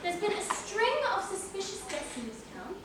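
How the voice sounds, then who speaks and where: A woman's voice: very high-pitched, sing-song, normal speed. A young woman playing an eager, ambitious, bossy amateur reporter in a college stage play. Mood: excited, boastful. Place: college stage, recorded from the audience. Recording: echoey hall, distant mic, faint noise.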